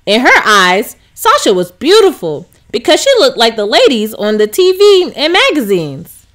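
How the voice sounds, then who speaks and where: High, animated woman reading a storybook. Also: normal speed. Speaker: storybook reader. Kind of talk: scripted children's story narration.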